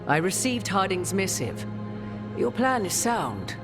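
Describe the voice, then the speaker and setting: Grave, formal sorceress, music behind. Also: female voice, medium pitch, very animated. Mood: solemn. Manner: formal, archaic, measured phrasing. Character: grave, cryptic sorceress ally with ancient memories. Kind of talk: video game cutscene acting.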